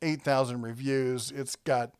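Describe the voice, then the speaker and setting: A man's voice: mid-range voice, steady tone, a little slow, clean tone, no echo, clean studio sound. A man hosting a casual product review, talking conversationally and thinking aloud as he rambles along.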